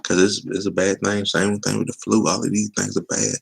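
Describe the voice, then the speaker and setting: Gravelly husky man chatting on a livestream. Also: fairly low pitch, little pitch movement, a little fast. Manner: loose, chatty, teasing. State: losing his voice. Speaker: sports talk streamer. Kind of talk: casual livestream chat.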